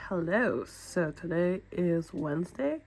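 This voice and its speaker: A woman with a raspy, nasal voice from a stuffy nose, chatting casually about a manga she read, rambling with fillers and restarts. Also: fairly low pitch, expressive, takes it slowly, darkish tone, soft background music. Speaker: manga reading vlogger. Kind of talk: casual book review chat.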